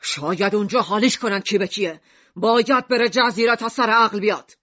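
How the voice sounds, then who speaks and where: Man acting a high-voiced, hot-tempered stern father. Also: sharp tone, dry recording, dull audio. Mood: furious. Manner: indignant, commanding. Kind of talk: acted audio story dialogue.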